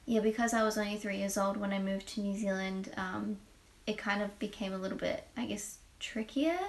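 A woman's voice: medium pitch, gravelly, poor audio. A young woman, born in Poland and raised in New Zealand, chatting casually as a radio guest and hedging often. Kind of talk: casual chat.

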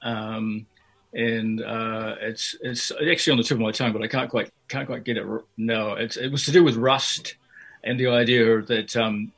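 An older man from Fiji and New Zealand talks through a radio interview about his life as a poet. His delivery stays flat and even, with little rise and fall. He hesitates often, full of fillers and false starts. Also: fairly low pitch, breathy edge. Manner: hesitant, many fillers and restarts.

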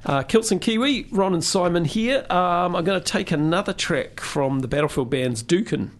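A man hosting a radio show in casual chat, rambling and halting as he thinks aloud. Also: high voice, animated. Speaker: radio host.

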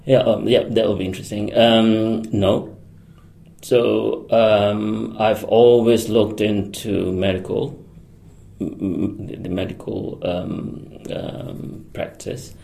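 A man speaks in a very deep, heavily gravelly voice. He talks slowly, pausing often with ums and restarting his sentences as a radio interview guest. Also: dark tone. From Singapore. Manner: hesitant, many fillers and restarts; laughs often. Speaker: guest, electronics engineer.

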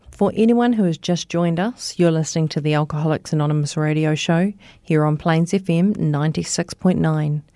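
A woman's voice: sits low, no room sound. A woman hosting a radio interview show, reading a scripted introduction and then drawing out her guest with encouraging questions. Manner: encouraging, warm prompts, reads scripted intro.

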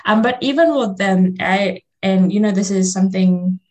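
A woman hosts a casual, reflective radio talk. Her first language is Fiji Hindi and her voice sits at a middle pitch. She explains herself in loose steps, often checking in with "you know". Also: moderate pace, a little mellow. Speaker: radio show host.

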